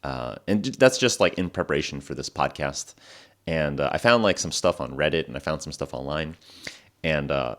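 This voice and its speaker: Very deep-voiced man, casual podcast host. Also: normal speed. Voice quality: voice lower than usual, morning voice. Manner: relaxed, rambling, many fillers and self-corrections. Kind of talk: casual storytelling monologue for English learners.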